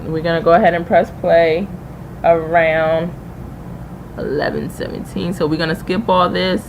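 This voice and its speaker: A woman's voice: on the low side, fairly even intonation, moderate pace. A woman hosting a casual live stream, giving slangy, opinionated commentary and explaining herself as she goes. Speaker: livestream host and YouTube creator. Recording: clear background noise on a livestream.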